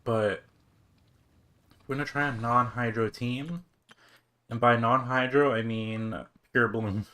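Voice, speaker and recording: A man's voice: medium pitch, steady tone, slow pace, pauses often, bright, forceful tone. A man livestreaming a game with casual, slangy, chatty commentary.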